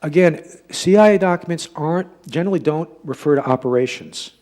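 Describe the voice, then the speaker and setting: A man with a slightly husky voice giving an informal public lecture, halting as he repeats and rephrases himself. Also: fairly high pitch, a little mellow. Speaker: lecturer giving a talk and taking audience questions.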